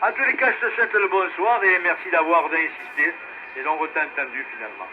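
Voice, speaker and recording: A man running an amateur radio net in a casual, halting way, heard over a two-way radio. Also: on the high side. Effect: two-way radio transmission. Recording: noisy narrowband ham radio relay.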